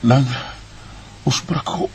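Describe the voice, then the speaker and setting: A man's voice: slow, lightly acted. A man with a Visayan Filipino accent playing a humble father of a homeless family in a radio drama, subdued and pleading. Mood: humbly grateful.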